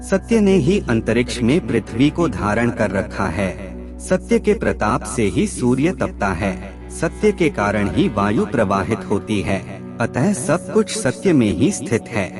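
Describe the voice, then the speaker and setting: A man narrating scripted aphorisms in a measured, deliberate recitation. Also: medium pitch.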